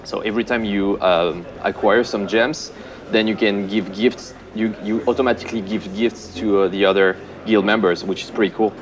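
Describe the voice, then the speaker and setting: Relaxed low-voiced man, halting answers. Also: crowd noise. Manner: relaxed, many ums and uhs, self-deprecating humor. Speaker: senior game producer. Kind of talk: casual interview answers.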